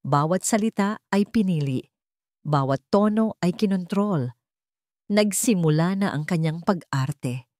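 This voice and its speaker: A woman narrating a scripted story in a melodramatic way, with deliberate short phrases. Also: fairly low pitch, animated, a little mellow, no room sound.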